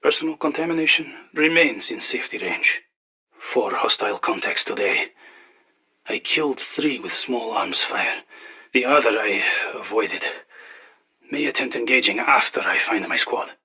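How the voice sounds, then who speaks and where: A dutiful soldier files a clipped report. His tone is very sharp and gravel-rough, terse and businesslike, like a video game field log. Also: mid-range voice, lively intonation, moderate pace, full character voice. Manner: terse, businesslike military delivery.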